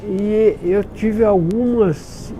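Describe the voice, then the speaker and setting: A man's voice: sits high, extremely slow, dark tone, faint music behind, low-quality recording. An older man from the south of Minas Gerais, a retired doctor in his eighties, telling his life story in a folksy way with chuckles. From south of Minas Gerais, Brazil.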